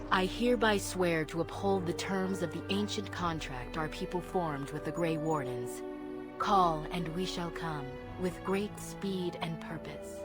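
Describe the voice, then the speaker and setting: Woman playing a low-voiced, solemn elf leader. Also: close to an everyday voice. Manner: formal, measured, solemn. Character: solemn young female elf clan leader, dutiful. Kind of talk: video game character acting. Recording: loud music under the voice.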